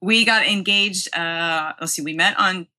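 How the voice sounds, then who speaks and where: A woman tells a story in a casual, conversational way. Her voice sits quite low for a woman, yet it has a bright, forward edge. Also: a little slow. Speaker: interview guest.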